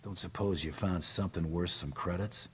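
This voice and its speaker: A man's voice: sits low, moderate pace, lightly acted. A man voicing a tough, laconic bounty hunter in a video game, terse and dryly menacing. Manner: terse, dry, threatening. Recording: long hall reverb, background noise.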